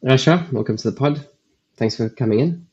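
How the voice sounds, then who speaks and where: A man's voice: mid-range voice, normal speed, darkish tone, breathy edge. A man hosting a podcast, reading a scripted introduction and then asking questions with some hesitation. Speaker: podcast host. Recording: dull lo-fi sound.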